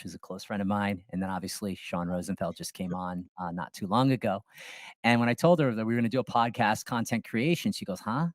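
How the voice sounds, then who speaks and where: A man's voice: on the low side, dry recording. Male podcast host, close dry sound. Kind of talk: casual podcast interview.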